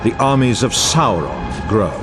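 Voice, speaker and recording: Casting: low-voiced, solemn fantasy game narrator, grand archaic phrasing, widely varied intonation, music underneath. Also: male voice, big pitch swings, a little slow. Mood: grave, stirring. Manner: grave, dramatic, archaic formal delivery. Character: solemn wise war narrator of an epic fantasy saga. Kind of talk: epic fantasy video game cutscene narration.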